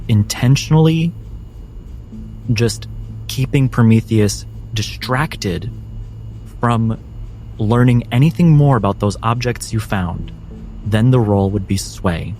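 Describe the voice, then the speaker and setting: Male game master, low and mellow, measured as he sets scenes and explains choices, light music behind. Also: poor audio. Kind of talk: guiding and narrating a tabletop game.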